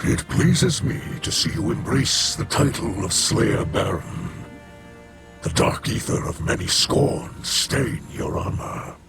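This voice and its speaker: An actor playing a grave, commanding alien warrior lord in a video game, speaking solemnly and formally in a gravelly voice. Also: male voice, fairly low pitch, full character voice. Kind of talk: video game character acting.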